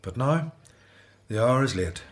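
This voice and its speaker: A man's voice: medium pitch, lively intonation, measured pace, close to an everyday voice. An actor playing a humble, earnest older man back from exile in a radio drama. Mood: resolute.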